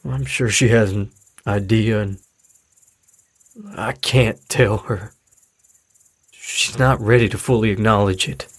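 A troubled middle-aged father in a naturalistic audio drama. His voice is husky and downcast, with halting delivery, captured close and dry. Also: lowish voice, fairly even intonation, no echo, faint music behind, full character voice. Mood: weary, pleading. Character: weary, troubled, frustrated widowed father of a teenager.

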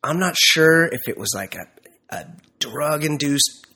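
A podcast co-host, a man with a congested, nasal voice from a cold. His tone has a husky, slightly breathy texture. He teases his friend in dry, exaggeratedly formal language. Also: a little high, lively intonation, a little slow, sharp tone. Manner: dry mock-formal teasing. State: sick, stuffy and nasal. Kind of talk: casual comedic chat.

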